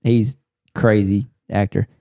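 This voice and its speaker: Mellow, dark-voiced man chatting. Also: on the low side, level tone, slow pace, gravelly. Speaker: podcast panelist. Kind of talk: casual group chat about movies.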